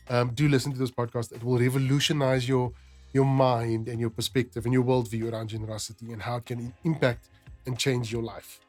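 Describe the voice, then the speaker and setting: A male podcast host addresses his audience directly, with music playing softly underneath. His voice sits at a medium pitch for a man. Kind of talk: podcast narration and informal address to listeners. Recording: music bed behind the voice.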